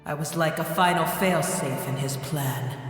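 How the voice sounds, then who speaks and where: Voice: mid-range voice, unhurried, faint music behind, lightly acted. An actor playing an ancient, proud dragon in a fantasy game, speaking in a solemn, grandiose manner. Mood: ominous. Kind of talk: scripted fantasy game voice acting. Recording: echoing cavernous reverb.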